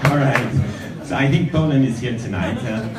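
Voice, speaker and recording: A man from Austria hosting a live event, cheerfully rallying the audience with shouted questions. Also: mid-range voice, moderate pace, a loud crowd. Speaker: event organizer and host. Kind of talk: upbeat crowd-work introduction. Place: large event venue indoors. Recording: echoey hall, distant mic, faint room noise.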